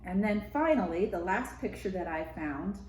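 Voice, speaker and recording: A woman's voice: medium pitch, lively intonation, moderate pace, darkish tone. An American woman, a school media specialist, talking casually and directly to children and explaining activities in a read-aloud lesson. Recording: roomy echo with background noise.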